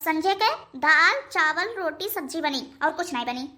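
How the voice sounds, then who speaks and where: A woman's voice: high-pitched, animated, normal speed, sharp tone. A woman voicing a bossy, scolding old village mother-in-law with an Awadhi accent in a cartoon, hectoring with repeated demands. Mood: bossy, threatening. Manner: hectoring, repeating tags as threats. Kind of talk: cartoon dialogue.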